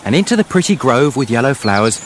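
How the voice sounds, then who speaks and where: An actor playing a fairy-tale storyteller, giving deliberate, poetic storybook narration in a radio play. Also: male voice, mid-range voice, sing-song, slight background noise, lightly acted, poor audio. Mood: poetic, measured.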